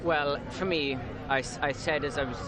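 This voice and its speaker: A man's voice: fairly high pitch, steady tone. A teenage boy from Wales with a Welsh accent, talking earnestly and at length in a live street interview outdoors. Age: teens. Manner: earnest, rambling, quick-talking. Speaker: interview guest. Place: outdoors at a downtown riverfront. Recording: outdoor street with a loud crowd behind.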